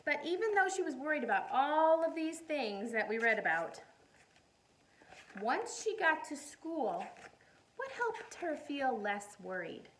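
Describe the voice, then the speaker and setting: High-voiced woman teacher reading to young children. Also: slight background noise, low-quality recording. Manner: encouraging, animated, asks questions and pauses for answers. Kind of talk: read-aloud storytime with questions to young listeners.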